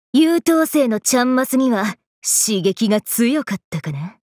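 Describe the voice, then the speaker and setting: A woman's voice: a little high, big pitch swings, slow, a little mellow, dry recording, clean studio sound. A woman voicing a brash, playful, hyper young woman from an anime game, with cocky, slangy, teasing delivery. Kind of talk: Japanese anime game character voice lines.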